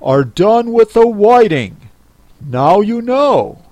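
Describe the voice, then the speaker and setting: A reader voicing a brusque, impatient and solemn beast in a storybook, speaking forcefully. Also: medium pitch, sing-song, takes it slowly, no echo, pitched above the performer's own voice. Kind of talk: storybook character reading.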